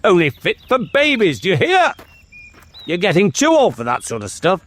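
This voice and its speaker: A man's voice: very high voice, huge pitch swings, normal speed, voice pushed up, full character voice. A man voicing a stern, short-tempered king and uncle in a storybook, imperious and scolding. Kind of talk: dramatic storybook character reading.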